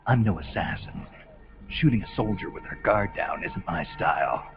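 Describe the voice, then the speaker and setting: A man playing a gruff, weary, principled soldier in a video game, gravelly, terse and deadpan. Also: on the low side, moderate pace, natural voice. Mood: wry, restrained. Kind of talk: dramatic video game acting. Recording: muffled game audio under music. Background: loud music.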